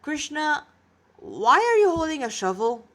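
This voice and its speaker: A woman's voice: high voice, big pitch swings, unhurried, a bit bright, voice pushed up, exaggerated voice. A woman voicing a sarcastic, exasperated young male hero who grumbles informally in a storybook reading.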